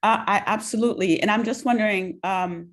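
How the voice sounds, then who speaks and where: A woman's voice: medium pitch, fairly quick. A woman on a committee politely raising a practical question about timing. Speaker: committee member. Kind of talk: meeting discussion.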